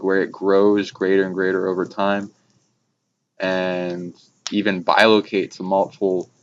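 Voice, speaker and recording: Low monotone man rambling, lo-fi. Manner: halting, trailing phrases with abstract jargon. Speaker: speaker on esoteric topics. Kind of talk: casual rambling spiritual discussion. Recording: dull lo-fi recording with clear background noise.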